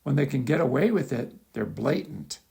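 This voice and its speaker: A man with a gravelly, creaky voice reads his own article as a measured, explanatory lecture with occasional asides. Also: medium pitch, expressive, warm tone. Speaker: author reading own article. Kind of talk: scripted lecture-style narration with asides.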